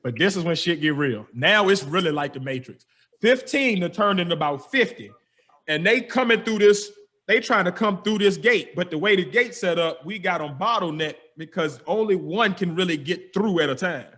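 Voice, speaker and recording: A young man, a podcast host telling a story with lively energy, slangy and acting out the dialogue. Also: sits high, moderate pace. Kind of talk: animated storytelling.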